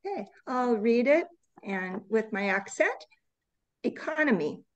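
A woman teaching an online English class over a video call, reading slides slowly and patiently correcting students. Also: on the high side, big pitch swings, dull audio. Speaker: English tutor.

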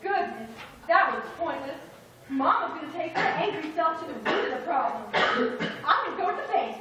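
A woman's voice: high voice, expressive, moderate pace. A woman voicing Mama Nature, a sassy, motherly tree figure who speaks indignantly, in a live puppet show. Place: small indoor puppet stage. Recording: echoey room from far off.

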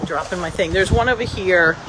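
A woman's voice: fairly low pitch, fairly quick. A middle-aged woman hosting a casual on-camera vlog, chattily asking questions in an informal, exclamatory way. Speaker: cooking vlog host interviewing a farmer. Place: open-sided farm market and sunny parking lot outside. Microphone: phone at arm's length. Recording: clear outdoor ambient noise.

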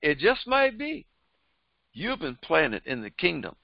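A man's voice: a little high, huge pitch swings, measured pace, vocal fry, no room sound. An older man, a pastor, preaching a Bible lesson in a fervent, repetitive cadence through a headset microphone in a small studio. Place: small indoor studio before a printed backdrop. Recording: dry, slightly dull sound.

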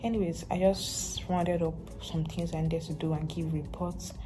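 A young woman with a Nigerian accent chatting casually to her phone camera as a vlogger, relaxed and rambling. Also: low voice, moderate pace. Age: young adult. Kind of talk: casual vlog chat to camera. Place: bedroom, in bed; also parked car. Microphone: phone held at arm's length. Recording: background music under the voice.

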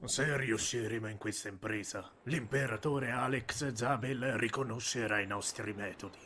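Man acting a measured villain amid birdsong. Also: mid-range voice, sharp tone, slight background noise, close to an everyday voice. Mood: cold, scheming. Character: calculating villainous man plotting for ultimate power. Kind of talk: Italian fan dub of anime.

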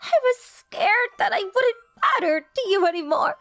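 A woman's voice: very high voice, animated, normal speed, fairly bright tone, full character voice. A woman voicing a clingy, jealous, lovestruck teenage girl in an anime-style romantic comedy dub, with emotional, dramatic delivery. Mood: tearful, relieved.

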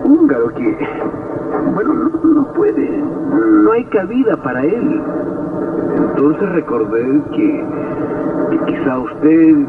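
An actor playing a timid, apologetic train conductor, hesitant and stammering with deference, in an old radio drama. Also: male voice, a little high, very animated, slow pace, over loud music. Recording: muffled noisy old radio, distant.

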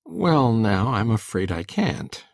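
A woman voicing a shy, gentle older bachelor farmer in a slow, halting, plain-spoken way for an audiobook. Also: rumbling low, big pitch swings, voice pushed down, full character voice. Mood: hesitant, mild. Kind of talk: dramatic audiobook reading.

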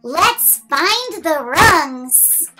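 Very high-pitched, animated woman storyteller. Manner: exaggerated, playful, addressing young listeners with questions. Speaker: children's storyteller reading picture books aloud. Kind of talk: animated read-aloud for young children.

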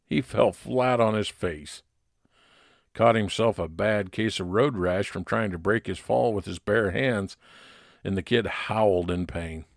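A man's voice: lowish voice, measured pace, vocal fry, no echo, clean studio sound. A man playing a private investigator who narrates his case plainly, in old time radio detective style. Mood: matter-of-fact.

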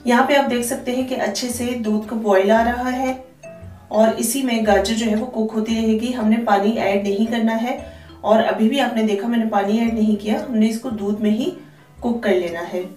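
A woman's voice: fairly high pitch, darkish tone. A woman hosting a cooking channel, giving friendly step-by-step instructions in a chatty way. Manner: friendly, chatty instructions with frequent "theek hai ji". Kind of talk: cooking tutorial voice-over. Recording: echoey, distant voice-over with faint music.

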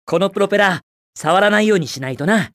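Young video game hedgehog speedster, cocky, carefree, cool slangy quips, highly animated intonation, close dry recording. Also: male voice, quick pace, no echo. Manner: cool, brash, slangy, quippy. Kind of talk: Japanese video game character voice lines.

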